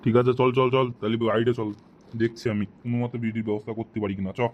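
On a dull, slightly noisy recording, a man plays an exasperated, scheming friend in a comic cartoon. He talks very fast, grumbling and swearing sarcastically. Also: mid-range voice, steady tone, lightly acted. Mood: angry, grumbling. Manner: foul-mouthed, grumbling.

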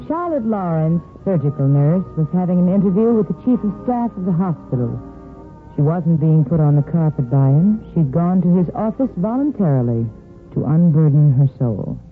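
A woman's voice: lowish voice, sing-song, normal speed, faint music behind. A woman hosting and narrating a 1950s radio soap in scripted storytelling style. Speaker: narrator and host. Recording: muffled old radio recording.

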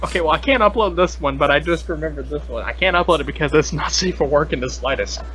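A man's voice: a little high, fast, fairly bright tone. A young man chatting casually as a podcast host, with a playful, silly, teasing manner. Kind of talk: casual joking chat. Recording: noisy internet call recording.

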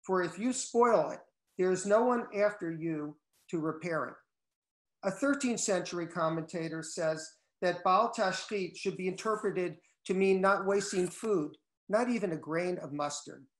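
An older man, a cookbook co-author, reading a prepared talk in measured tones over a video call from home. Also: high voice. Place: wood-paneled room at home. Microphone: laptop video call. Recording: echoey room on a video call.

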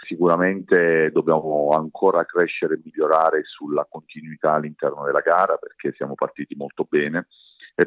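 A man giving measured, hesitant answers as a sports coach in a radio interview. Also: extremely low pitch, no echo, phone-quality sound. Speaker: basketball coach being interviewed.

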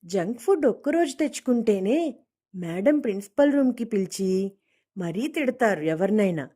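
A woman's voice: mid-range voice, animated, normal speed, dark tone. A woman storyteller reading a scripted moral story for children, acting out dialogue as she narrates.

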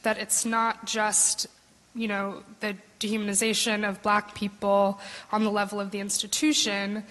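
A woman's voice: mid-range voice, monotone, moderate pace, a bit bright. A young woman speaking from notes at a podium in a large hall, tentative and thoughtful, with frequent ums and searching phrasing. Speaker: panel discussant. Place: large concert hall stage. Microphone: podium microphone. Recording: long hall reverb.

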